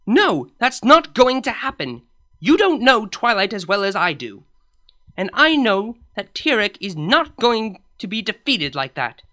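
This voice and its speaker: A woman's voice: medium pitch, big pitch swings, a little slow, bright, forceful tone, voice pushed up. A woman reading a fan story aloud, voicing a stern, proud royal pony princess who talks in an insistent, lecturing way. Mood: indignant.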